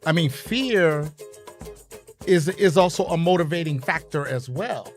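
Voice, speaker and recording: A man's voice: on the high side, expressive, unhurried, faint music behind. A man speaking as a talk show guest, reflective and easygoing, laughing easily. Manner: reflective, laughs easily, "you know" fillers. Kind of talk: casual live show chat.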